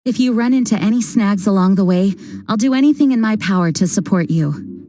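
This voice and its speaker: Actress as a composed female commander in a video game; mellow, measured and formal. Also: mid-range voice, lively intonation, lightly acted. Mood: calm. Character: calm dutiful female knight leader, composed. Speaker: knight commander.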